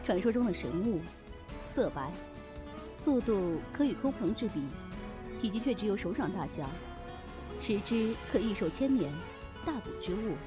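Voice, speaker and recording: Woman acting a shrewd, animated demon innkeeper. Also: mid-range voice, moderate pace, pauses often, over music, natural voice. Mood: musing. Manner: teasing, bossy, offhand. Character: shrewd, breezy keeper of a demon inn. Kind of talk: audio drama acting.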